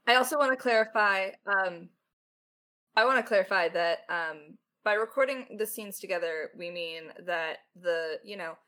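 A woman's voice: mid-range voice, moderate pace, bright, forceful tone. A woman co-hosting a casual question-and-answer chat as a writer, with a wry, conversational way of talking. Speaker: lead writer and podcast co-host.

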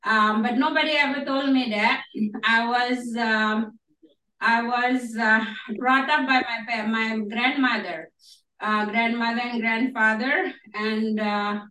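A woman's voice: fairly high pitch, steady tone, takes it slowly, sharp tone, recorded from a distance. A woman from Indonesia, a guest pastor, telling her testimony at a church gathering, laughing often and affirming with amens. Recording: roomy echo, mic from afar.

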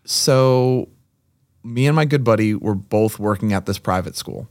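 A man's voice: fairly low pitch, a little slow, dry recording, studio quality. A man, a podcast guest telling stories in a chatty, joking way. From Massachusetts. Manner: chatty storyteller, joking asides. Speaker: podcast guest, CrossFit gym owner. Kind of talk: casual chat.

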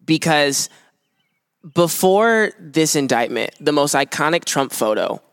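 A man's voice: a little high, animated, unhurried, fairly bright tone, clean tone, no echo, clean studio sound. A young adult man chatting casually as a show guest, with a wry, self-deprecating manner. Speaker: show guest, summer intern. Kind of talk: casual chat.

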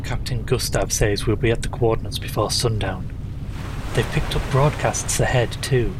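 An actor playing a matter-of-fact expedition member in an audio drama. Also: male voice, lowish voice, moderate pace, close to an everyday voice. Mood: businesslike. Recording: noisy wind and boat ambience.

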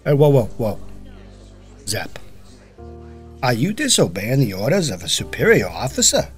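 An actor playing a cynical, wisecracking senior police officer in a noir audio drama, with a husky voice and teasing swagger. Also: male voice, mid-range voice, huge pitch swings, moderate pace, faint music behind. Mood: teasing, bossy.

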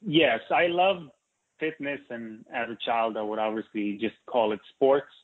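A man, an interview guest who talks with frequent hesitations and fillers. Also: medium pitch. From Israel. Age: 40s. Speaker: interview guest, company co-founder. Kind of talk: interview conversation. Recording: tinny phone-like line.

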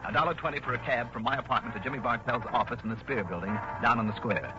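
A man's voice: medium pitch, fast, over loud music, natural voice. A man playing a wry, confident American insurance investigator with a dry sardonic wit in a 1960s radio drama. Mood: brisk narrating. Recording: dull, noisy old radio recording.

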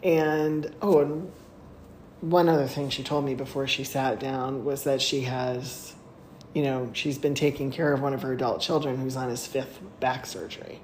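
Woman co-host, low-pitched, telling stories casually with bursts of laughter, recorded in an echoey room. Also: normal speed. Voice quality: laughs often while talking. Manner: animated retelling, voice jumps high when excited. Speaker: podcast co-host. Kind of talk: casual storytelling chat. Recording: echoey room with faint noise.

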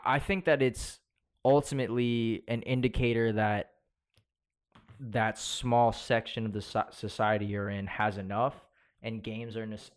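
A young man co-hosting a podcast, talking loosely and riffing with plenty of swearing. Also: fairly low pitch. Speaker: podcast co-host. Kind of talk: casual podcast chat.

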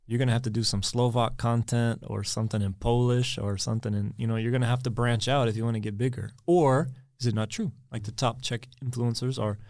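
A man's voice: medium pitch, a little fast, few pauses, no echo, studio quality. A man in his thirties from Texas with an American accent, talking casually as a podcast guest and rambling as he thinks aloud. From Texas, USA. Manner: relaxed, rambling, repeats words while thinking. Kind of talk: casual conversation.

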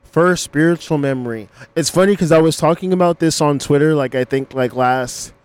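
A man from Los Angeles, a guest in a casual interview, recalling his early memories in a relaxed, reflective way full of "like" and "yeah". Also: a little high, fairly quick, clear, resonant tone.

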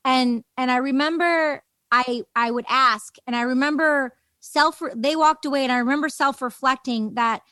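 A woman's voice: high-pitched, normal speed, hardly any pauses, bright, forceful tone, clean tone, no room sound. A woman talking conversationally as the interviewer on a casual podcast.

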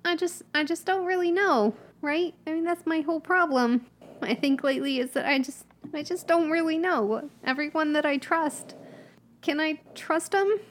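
A woman's voice: high voice, moderate pace, slight background noise. A woman playing a tabletop character, hesitant and thinking aloud with many ums. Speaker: player. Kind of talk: in-character roleplay at a tabletop game.

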